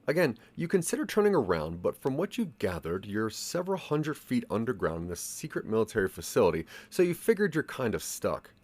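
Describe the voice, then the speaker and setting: A man's voice: medium pitch, very animated, a little fast, hardly any pauses, a little mellow. A man narrating a scripted audio drama story, addressing the listener as its main character. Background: music.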